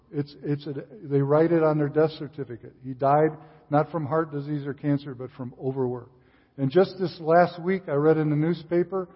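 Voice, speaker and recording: An older man speaks from a church pulpit, relaxed and conversational, full of "uh" and restarts. His voice sits a little high for a man. The sound is muffled and dull, with a long hall echo. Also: husky. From Chicago area, USA. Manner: relaxed, frequent uh fillers and restarts. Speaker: visiting missionary speaker. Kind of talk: sermon with casual asides. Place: church sanctuary.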